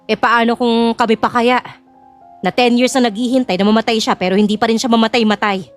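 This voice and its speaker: A woman's voice: on the high side, fairly even intonation, brisk, soft background music, natural voice. A woman playing a scheming, greedy aunt in an audio drama, informal, teasing and sly. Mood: conspiratorial.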